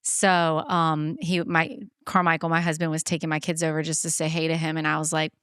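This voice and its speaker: A woman's voice: low voice, little pitch movement, brisk, fairly bright tone, clean tone, no echo, clean studio sound. A woman hosting a podcast, chatting casually and telling stories in a chatty way, often quoting others. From born in Beaumont, Texas, lives in Charleston. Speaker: podcast host. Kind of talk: casual chat, storytelling.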